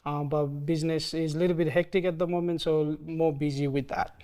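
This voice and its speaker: A man in his thirties from Nepal with a Nepali accent, speaking hesitantly with many pauses in an oral history interview. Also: a little high, little pitch movement, smooth. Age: 30s. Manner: soft-spoken, hesitant, frequent ums.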